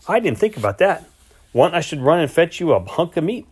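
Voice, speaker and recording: A man's voice: fairly high pitch, very animated, brisk, darkish tone, slightly rough, pitched above the performer's own voice. A man doing a fiery freckled ranch girl. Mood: feisty.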